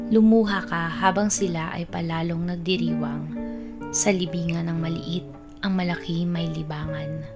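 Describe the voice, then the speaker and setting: Low-voiced Filipino woman reciting over guitar music. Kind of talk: poetry recitation. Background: plucked guitar music.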